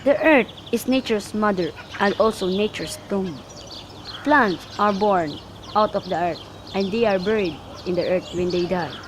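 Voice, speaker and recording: A woman playing a holy friar and gently scolding mentor in an amateur radio play, reciting carefully with small stumbles. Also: mid-range voice, unhurried, lightly acted. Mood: solemn, musing. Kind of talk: student radio drama, recited modern Shakespeare. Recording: clear background noise with birdsong.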